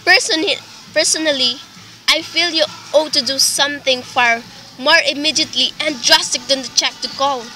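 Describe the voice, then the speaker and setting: A woman's voice: sits high, normal speed, bright, forceful tone, slight background noise, low-quality recording. A woman playing an anxious, demanding invalid wife in a radio play, fretful, pleading and dramatic. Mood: insistent, worried.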